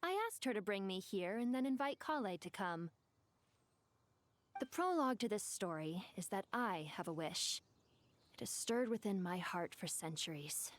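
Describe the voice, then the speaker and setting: A woman's voice: medium pitch, expressive, normal speed, close to an everyday voice. A woman voicing an ancient, devoted djinn for a video game, speaking in a theatrical, eloquent and formal way. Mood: poised, wistful. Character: ancient djinn woman, grand, wistful, devoted to her lost mistress. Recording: music behind the voice.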